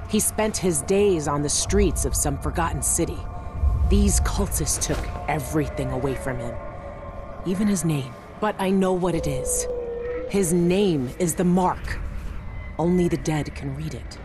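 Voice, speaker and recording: An actress playing a hardened but merciful female assassin in a video game, speaking with measured, earnest intensity. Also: deep voice. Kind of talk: video game dramatic acting. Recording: music under the voice. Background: music.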